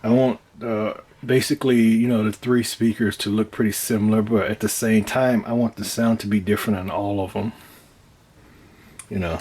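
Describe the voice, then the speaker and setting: A man giving a casual, hands-on demonstration of his own DIY project, talking in a halting, unscripted way as he thinks aloud, with some noise in the recording. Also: on the low side, a little mellow. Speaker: DIY speaker builder presenting own project.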